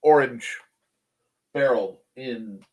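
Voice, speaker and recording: A middle-aged man chatting casually as a show co-host, rambling, pausing to search for words and adding wry asides, his voice still recovering after he nearly lost it. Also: medium pitch, slight background noise. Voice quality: husky. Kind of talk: casual chat.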